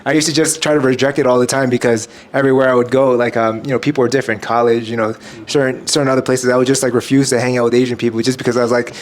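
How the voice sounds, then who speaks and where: A man's voice: medium pitch, flat delivery, very fast, few pauses. A man talking as a podcast guest, reflecting at length in a rambling way full of "you know". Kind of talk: casual reflective conversation.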